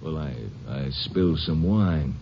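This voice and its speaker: A shifty, stammering gambler. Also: male voice, rumbling low, unhurried, slight background noise. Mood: evasive. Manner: evasive, stammering, turning panicky. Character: nervous cornered male gambler suspect. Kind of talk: 1950s radio drama acting. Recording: muffled old radio broadcast.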